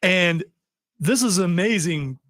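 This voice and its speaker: A man's voice: sits high, animated, takes it slowly, fairly bright tone, no room sound, clean studio sound. A man hosting a pop culture podcast, rambling with restarts as he steers the talk. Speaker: podcast host. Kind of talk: casual chat.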